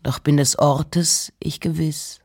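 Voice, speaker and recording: Low-voiced woman, slow literary reading. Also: fairly even intonation, darkish tone, slightly rough. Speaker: reciter of poems and letters. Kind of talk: literary reading of poetry and letters.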